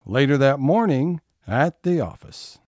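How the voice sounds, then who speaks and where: A man narrates an audio play in a scripted, announcing style. His pace is a touch slow and his intonation lively. The recording is dry and free of room sound. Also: medium pitch, no room sound, faint music behind.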